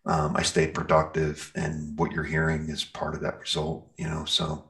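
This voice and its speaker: A man with an extremely low voice and plenty of creaky fry in it. He talks casually as a guest in an interview, explaining as he goes and thinking out loud in a loose, rambling flow. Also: monotone, moderate pace. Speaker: interview guest, metal band singer and guitarist.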